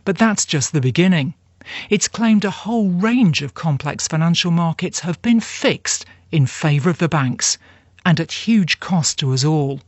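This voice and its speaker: A woman's voice: low voice, lively intonation, measured pace, dry recording, dull audio. A woman presenting a scripted radio programme trailer.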